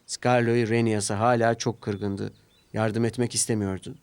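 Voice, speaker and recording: A man narrating a scripted children's story in an expressive storytelling style. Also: lowish voice, moderate pace, smooth, dry recording. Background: orchestral music with brass.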